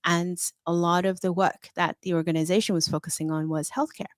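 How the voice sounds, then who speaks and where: A woman's voice: lowish voice, level tone, fairly quick, no room sound, studio quality. A middle-aged woman from Hong Kong, a fintech consultant, speaking as an interview guest in a confident, candid, storytelling way. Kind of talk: interview conversation.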